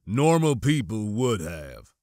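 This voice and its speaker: A man voicing a serious, wary stormtrooper who speaks slowly and firmly in an audiobook. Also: lowish voice, very animated, darkish tone, no echo, studio quality. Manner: slow, firm, serious. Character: grave, wary male stormtrooper, guarded and cautioning.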